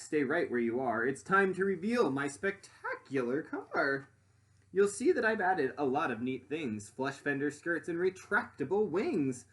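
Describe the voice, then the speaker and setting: A man voicing an eager, boastful boy inventor from a storybook, presenting his dream creation like an excited showman. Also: fairly high pitch.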